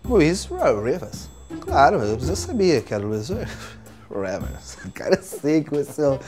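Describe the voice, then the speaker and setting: A man's voice: a little high, wildly swooping pitch, unhurried, darkish tone, faint music behind. A man playing a comic interviewer who jokes around with feigned confusion and laughter. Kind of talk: comedy sketch banter.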